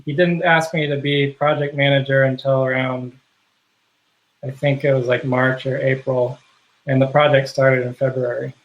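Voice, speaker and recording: A man being interviewed on a video call, talking casually and haltingly with many fillers and restarts. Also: mid-range voice, level tone, narrow, tinny audio. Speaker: guest, software project contributor.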